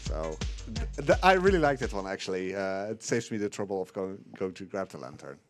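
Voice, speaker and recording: A man's voice: sits low, big pitch swings, a little fast, faint music behind. A man playing a game live and explaining his speedrun as he goes, chatty and jokey, laughing often and using gamer slang.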